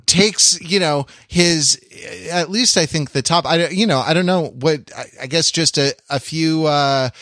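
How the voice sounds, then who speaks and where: A man's voice: on the high side, fairly bright tone, dry recording, clean studio sound. A man co-hosting a casual comic panel chat, telling stories in a wry, rambling, digressive way. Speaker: podcast co-host.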